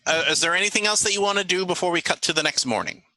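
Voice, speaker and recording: A man runs a tabletop game as game master, feeling his way through informal, halting narration. His voice is very bright and forward, with lively swings in pitch, recorded close and dry. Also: no echo.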